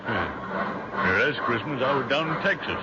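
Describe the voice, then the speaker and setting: An actor playing a plainspoken, weary American soldier with an American accent and folksy, thoughtful talk, in old-time radio drama style. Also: male voice, medium pitch, lively intonation, normal speed, lightly acted. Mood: easygoing. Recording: noisy, muffled old radio recording.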